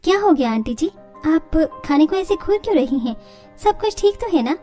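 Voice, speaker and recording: Woman voicing a high-voiced confident young daughter-in-law. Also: animated, moderate pace, mellow, soft background music. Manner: polite, confident. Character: clever, self-satisfied young daughter-in-law in family story.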